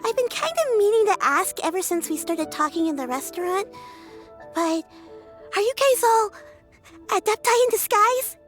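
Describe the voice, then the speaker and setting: A woman's voice: very high-pitched, expressive, normal speed, over music. An actress voicing a sweet, earnest young girl with a cheerful, chatty delivery in a game. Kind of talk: game voice acting.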